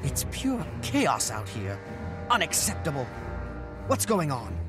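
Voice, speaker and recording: A man's voice: a little high, huge pitch swings, slow pace, music in the background, full character voice. A man voicing an ambitious, self-assured fantasy game inventor with a pompous, declamatory, wordy way of talking. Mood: brisk, concerned. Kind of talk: scripted game cutscene acting.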